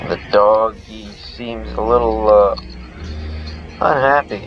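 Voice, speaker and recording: A man's voice: lowish voice, slow, highs cut off. A man reading the dialogue of a game aloud as he plays, with game music behind him. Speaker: let's player.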